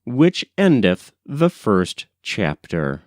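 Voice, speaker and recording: A man narrates a literary tale at an unhurried pace. His pitch moves freely through each sentence, and the recording is close and dry. The delivery is measured and scripted, like an audiobook. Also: huge pitch swings, no room sound. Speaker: audiobook reader.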